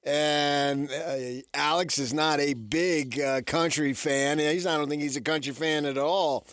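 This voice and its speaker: A man's voice: fairly high pitch, fairly even intonation, unhurried, a bit bright, no room sound. Rambling male sports radio host, dry studio sound. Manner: rambling, asks and answers his own questions. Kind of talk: casual sports talk monologue. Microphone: studio radio microphone.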